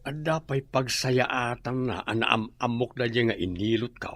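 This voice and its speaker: An actor in an Ilocano comedy radio drama playing an old man who loves to drink, talking in an animated, joking way. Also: mid-range voice, a little slow, no room sound.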